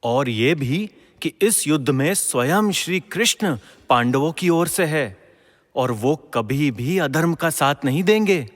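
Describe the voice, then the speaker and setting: Young prince, male actor, mythological radio drama. Earnest, respectful, impassioned, slightly high voice with long reverb. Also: animated, unhurried. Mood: earnest, principled. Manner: formal, respectful, impassioned declamation. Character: earnest, brave, dutiful young prince, a king's son. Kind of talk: Hindi mythological audio drama acting.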